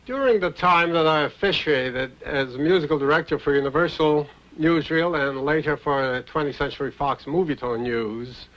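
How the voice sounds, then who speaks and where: An older man reminiscing in a documentary interview, speaking in a measured, formal way. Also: a little high, a bit bright, highs cut off. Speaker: film composer interviewed as a witness. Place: interview recorded in New York.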